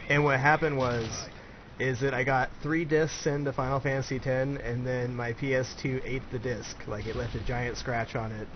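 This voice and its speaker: A man's voice: medium pitch, steady tone, moderate pace. A man in his twenties hosting a casual chat, asking easygoing questions. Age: 20s. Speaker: host, interviewer. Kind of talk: casual chat over gameplay commentary. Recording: dull lo-fi voice-chat audio with clear background noise.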